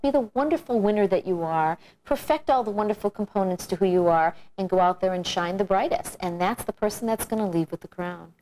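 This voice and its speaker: A middle-aged woman, a pageant coach and judge, giving measured, encouraging advice in an instructional video. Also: medium pitch, a little mellow.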